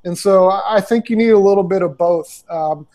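A man's voice: high voice, normal speed, a little mellow. A man in his forties, a ministry consultant, answering interview questions over a video call through earbuds, talking conversationally with many fillers and restarts. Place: small room indoors. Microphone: earbud headset on video call.

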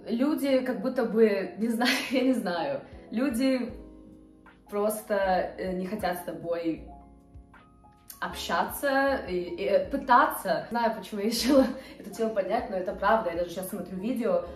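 A woman's voice: mid-range voice, moderate pace. Young woman, casual vlog chat, hesitant, roomy living room, faint music behind. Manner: chatty, hesitant with many fillers, gestures with hands. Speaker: vlogger. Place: bright living room, on a couch. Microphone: camera a few feet away.

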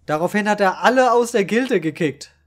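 A man's voice: high voice, animated, a little fast. A man telling a comic first-person gaming story in colloquial, slangy language. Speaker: storyteller and channel creator.

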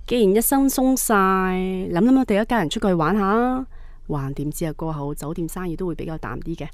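A woman's voice: on the low side, expressive, fairly quick, no echo, natural voice. A woman playing a devoted middle-aged wife and mother in a radio drama. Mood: relaxed. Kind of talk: radio drama acting and narration. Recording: music behind the voice. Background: soft guitar music.

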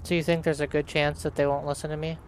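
A man's voice: a little high, flat delivery, quick pace, slight background noise. A man hosting a let's play, reading his character's dialogue aloud and narrating as he plays.

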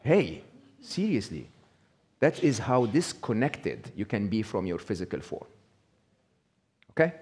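Middle-aged male speaker, low voice, casual stage talk, keeps asking "okay?". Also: sing-song, unhurried, long pauses, a little mellow, husky. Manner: conversational, checks in with frequent "okay?". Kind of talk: live talk to an audience. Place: small indoor venue with brick wall.

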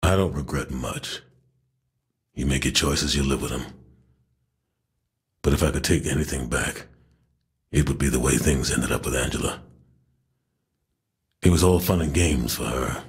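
An actor playing a weary, regretful ex-gang leader in middle age, speaking gravely and slowly in a game audio log. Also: male voice, exaggerated voice. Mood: regretful, grim. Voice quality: very deep, gravelly, husky.

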